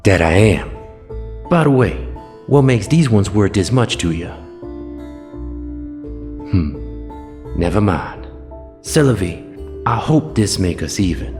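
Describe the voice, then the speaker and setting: Cajun thief, audio drama, cocky and defiant, deep lively drawl. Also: male voice, takes it slowly, long pauses, over music. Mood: curt, wary.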